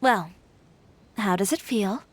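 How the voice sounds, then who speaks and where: A woman's voice: medium pitch, lively intonation, takes it slowly. A woman playing an assertive, cool young fashion designer in an anime game, composed and frank. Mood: reflective, relaxed. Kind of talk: anime game character dialogue.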